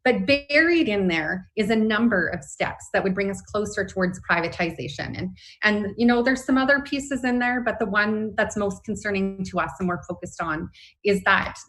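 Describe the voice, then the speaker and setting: A woman's voice: medium pitch, moderate pace, fairly bright tone, poor audio. A woman with a Canadian accent speaking as a union representative in an interview, explaining her position earnestly and emphatically. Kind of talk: spoken interview, advocacy.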